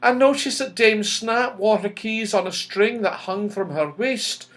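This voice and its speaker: A man voicing a sweet, excitable young female fairy in a children's storybook, with expressive delivery. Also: high voice, measured pace, voice pushed up. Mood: doubtful. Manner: expressive, exclamatory.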